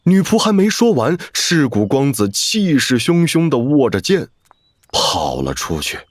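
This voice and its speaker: A man giving dramatic scripted narration for an animated fantasy story. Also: medium pitch, a little slow, no echo, studio quality.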